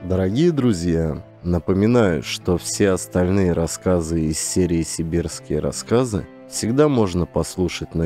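A man reading an audiobook and then thanking and addressing his listeners earnestly. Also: low voice. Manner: earnest, warm direct appeal to listeners. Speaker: audiobook narrator. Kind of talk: scripted audiobook narration. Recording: faint background music.

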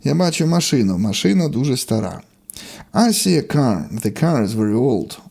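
A man's voice: medium pitch, sing-song, moderate pace, creaky, darkish tone, husky. A man teaching a language lesson, reading out short, separate example sentences in an instructional way. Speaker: English teacher.

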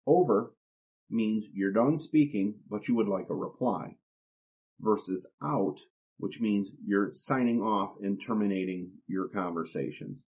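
A man hosting an instructional podcast, explaining things in a plain, deliberate, step-by-step way. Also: on the low side, a little mellow, breathy edge. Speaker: podcast host. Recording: dull, lo-fi podcast recording.

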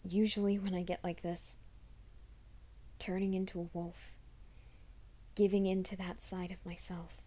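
A woman voicing a gentle, nervous young male werewolf in an ASMR roleplay, speaking softly and often in whispers. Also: fairly low pitch, flat delivery, a little slow, long pauses. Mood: soft reassuring. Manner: soft, hushed, often whispering.

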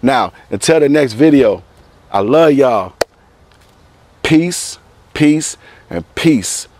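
A man's voice: medium pitch, animated, slow, a little mellow, dry recording. A middle-aged man giving a motivational talk to camera outdoors on a lapel mic, preachy and repetitive, calling his viewers "people". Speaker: motivational vlogger. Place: outdoors in front of evergreen trees. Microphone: clip-on lapel mic.

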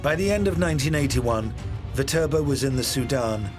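A man narrating a documentary in a measured, scripted way. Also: mid-range voice, over music. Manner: measured, even storytelling.

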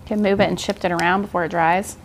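A woman's voice: fairly low pitch, fairly even intonation, quick pace, slight background noise. A woman in her forties giving an informal, encouraging art journaling tutorial in a casual style. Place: indoor art studio, seated at work table.

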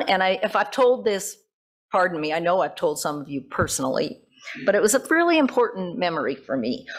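An older woman preaching as a pastor, reading from notes in deliberate phrases and breaking off for informal, self-correcting asides. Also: fairly low pitch. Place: church sanctuary at a pulpit. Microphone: gooseneck pulpit microphone.

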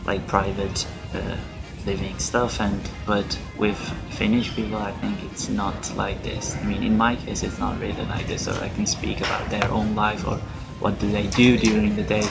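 Iranian man, low voice, music behind. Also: level tone, normal speed, vocal fry. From Iran. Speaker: international student. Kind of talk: casual interview answers. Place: university lobby indoors.

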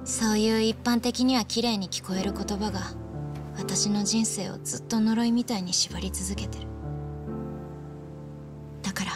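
A woman's voice: mid-range voice, steady tone, normal speed, long pauses, over music, natural voice. An actress playing a frank, guarded young woman in a video game drama, speaking in a casual way. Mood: bitter, wistful. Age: young adult.

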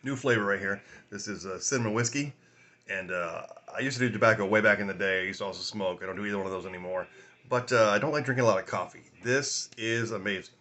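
A man in his forties with a raspy voice from a sinus infection, rambling casually through a whiskey tasting vlog at home, filming on a phone at arm's length. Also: lowish voice, quick pace, sharp tone. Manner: rambling, chatty, laughs often, many fillers. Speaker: whiskey review host. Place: small room at home, indoors.

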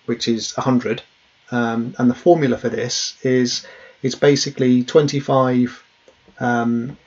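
A man's voice: medium pitch, a little mellow. A man in his thirties, a safety trainer, plainly explaining a worked example with frequent ums and uhs from a small office. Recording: dull, lo-fi webcam audio.

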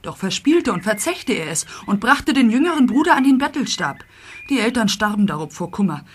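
A woman playing a brisk, indignant village aunt in a radio play, gossipy and emphatic. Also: on the high side, sing-song, breathy edge.

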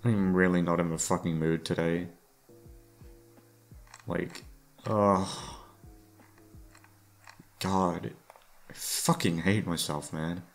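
A man with a gravelly voice rambling casually, tired and downcast, hesitant and self-deprecating, swearing often. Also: sits low, steady tone, slow pace, pauses often. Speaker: audio creator talking to listeners. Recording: music playing behind the voice. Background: soft background music.

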